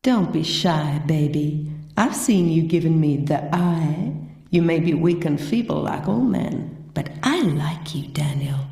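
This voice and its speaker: A woman's voice: sits low, expressive, measured pace, dark tone. Sultry warrior queen. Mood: flirtatious. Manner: sultry, flirtatious drawl. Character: flirty warrior queen ghost, bold and teasing. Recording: roomy echo.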